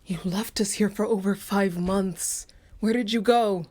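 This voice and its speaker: A woman acts an anxious mother goddess in a radio drama. Her voice sits at a medium pitch, and her manner is solicitous, probing and insistent. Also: steady tone, a little slow, darkish tone, natural voice. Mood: upset, insistent. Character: fussing, anxious mother, a grieving harvest goddess. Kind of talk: radio play acting.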